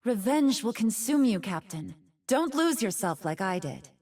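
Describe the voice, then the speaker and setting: A soft, fairly high young fox woman from a video game, gentle and wise with a teasing streak. Her delivery is very animated and swoops in pitch, and the audio sounds set back from the mic. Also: measured pace, far from the mic. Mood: gentle, wistful. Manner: friendly, playful, dramatic delivery. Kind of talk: video game character voice lines.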